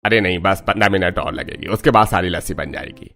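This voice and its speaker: A man's voice: low voice, fast talker, bright, forceful tone. A man playing a genial, approving older man in a cartoon story. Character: genial older man, family elder and dairy boss. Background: music.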